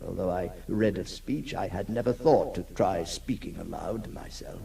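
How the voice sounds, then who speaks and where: An actor playing a haunted, lonely male outcast in a halting, theatrical 1960s radio drama monologue, intense and tormented. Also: low voice, creaky, mellow. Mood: brooding, wistful. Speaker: actor narrating as the character. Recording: noisy 1960s radio recording.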